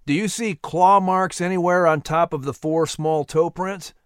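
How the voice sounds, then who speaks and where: A man's voice: fairly high pitch, steady tone, measured pace, a bit bright, clean tone, no room sound, clean studio sound, voice pushed up. A man voicing a patient uncle who explains the woods like a teacher.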